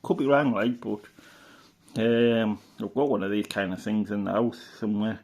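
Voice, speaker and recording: A middle-aged man from the UK, a radio hobbyist chatting casually through an old catalogue, rambling and unhurried with lots of fillers and restarts. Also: fairly low pitch, fairly even intonation, a little mellow. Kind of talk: casual reminiscing commentary.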